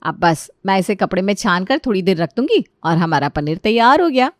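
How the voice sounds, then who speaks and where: A woman voicing a dutiful, traditional elder sister-in-law who explains gently and reassuringly in a family story. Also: medium pitch, lively intonation, fairly quick, dry recording, clean studio sound, lightly acted. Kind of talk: acted Hindi family story dialogue.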